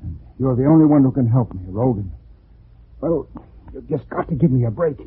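A man acting a desperate condemned murderer in a 1940s radio drama, husky and halting as he pleads. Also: medium pitch, lively intonation, a little hiss or hum, natural voice. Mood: urgent, insistent. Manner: halting, urgent, repeats himself. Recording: muffled, tinny 1940s radio broadcast.

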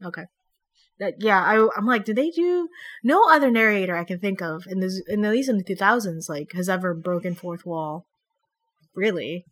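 A woman's voice: mid-range voice, moderate pace. A young woman born in the Philippines with an American accent, chatting animatedly and bluntly as a podcast co-host. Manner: animated and blunt, swears casually. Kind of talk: casual chat about a TV show.

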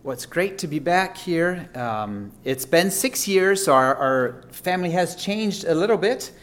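A man who is a missionary giving a friendly, relaxed update to a church congregation, with frequent fillers. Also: high voice, a little slow, slight background noise.

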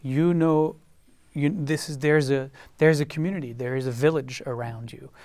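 A man from France with a French accent, a guest on a panel discussion who talks haltingly, with many fillers and repeated words. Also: a little high, fairly even intonation, a little mellow, no echo.

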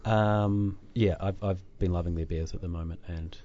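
Low-voiced New Zealand man chatting. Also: steady tone, moderate pace, warm tone, highs cut off. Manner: chatty, self-deprecating, lots of fillers and restarts. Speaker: podcast host. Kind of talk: casual chat. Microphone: desk microphone.

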